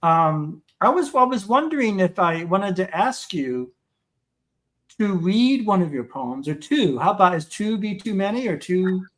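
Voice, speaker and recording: A high-voiced man hosts a podcast interview. He asks his questions haltingly, restarting and repeating words as he feels his way. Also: animated, fairly bright tone. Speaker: podcast host. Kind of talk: interview conversation.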